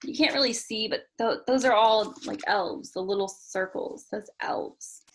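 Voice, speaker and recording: A woman's voice: medium pitch, a little slow, slight background noise, poor audio. A woman reading a children's picture book aloud expressively, with chatty asides spoken directly to young listeners. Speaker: storytime reader.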